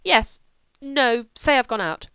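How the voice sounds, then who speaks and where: A woman's voice: fairly high pitch, lively intonation, fast talker, a bit bright, clear, resonant tone, no echo. A woman doing a headstrong young society lady. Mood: petulant. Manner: impetuous, petulant. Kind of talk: audiobook character voice.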